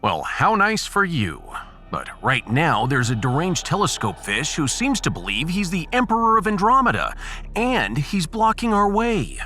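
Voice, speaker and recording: Man acting a bright, theatrical, sarcastic space officer. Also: fairly high pitch, wildly swooping pitch, moderate pace, heavily acted. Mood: wry, sarcastic. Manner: flowery, sarcastic. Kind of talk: sci-fi audiobook character acting. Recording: faint music behind the voice.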